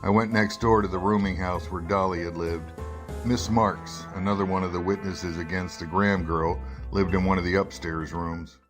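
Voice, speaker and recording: A probing, skeptical newspaper reporter played by a man in a radio drama. The voice is a little low, with a dry, wry delivery for dialogue and narration. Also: fairly even intonation, normal speed, music in the background, natural voice. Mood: wry, brooding. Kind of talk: radio drama acting and first-person narration.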